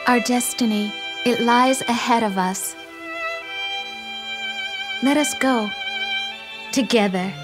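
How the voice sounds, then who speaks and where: A woman playing a devoted, resolute warrior wife in a video game, speaking earnestly and deliberately. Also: on the high side, over music. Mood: earnest resolve. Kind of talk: dubbed video game cutscene acting.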